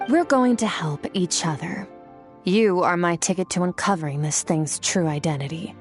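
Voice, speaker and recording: Lady playing a frosty aloof game swordswoman, lowish voice, curt and cool, faint music behind. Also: moderate pace, lightly acted. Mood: stern. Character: aloof powerful swordswoman, cold and businesslike. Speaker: game character.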